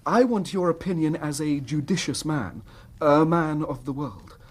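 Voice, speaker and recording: A distraught Victorian English gentleman in a radio drama. His voice is fairly high for a man and husky, and he speaks formally, halting and apologetic in his agitation. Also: expressive. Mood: desperate, troubled. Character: distraught, well-bred Victorian husband seeking advice.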